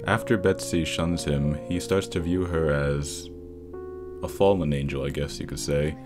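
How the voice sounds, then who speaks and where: A man's voice: extremely low pitch, steady tone, a little slow. A man narrating a scripted film analysis as a video essay voice-over. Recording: voice-over with background music. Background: instrumental music with plucked guitar.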